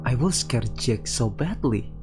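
Halting male game streamer over faint music. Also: medium pitch, animated. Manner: halting, breaks off to react to the story. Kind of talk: visual novel walkthrough, reading text aloud with casual commentary.

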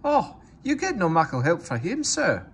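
A cheeky young steam engine from a storybook, as a male reader plays him, speaking in a Scottish accent. The voice is pitched high, with polite mock innocence. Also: wildly swooping pitch, moderate pace, slight background noise, exaggerated voice. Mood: cheerful, cheeky.

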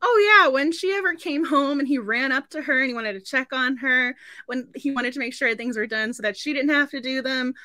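A woman's voice: high-pitched, fairly quick, bright, forceful tone, no room sound, studio quality. A woman in her thirties hosting a casual book club livestream in a gushing, giggly way. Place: indoor room at home.

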